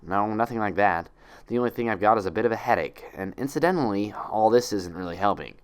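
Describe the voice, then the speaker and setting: A man's voice: fairly low pitch, animated, fast talker, clear, resonant tone, natural voice. A man voicing a young female unicorn patient in an audiobook-style story, dry, sarcastic and anxious. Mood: irritable, dry. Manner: dry, sarcastic, self-pitying.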